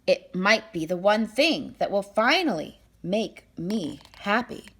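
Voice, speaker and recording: A woman's voice: mid-range voice, very animated, slow, fairly bright tone, breathy edge, full character voice. A greedy storybook king, acted out by a woman reader, speaks with imperious force. He is sullen and demanding, leaning hard on his words as if every one were an order.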